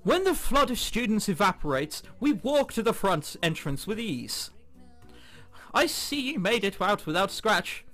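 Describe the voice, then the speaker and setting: A man's voice: sits high, sing-song, a little slow, a bit bright. A man hosting a gaming video, reading a visual novel aloud with jokey, casual asides. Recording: music behind the voice. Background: game background music.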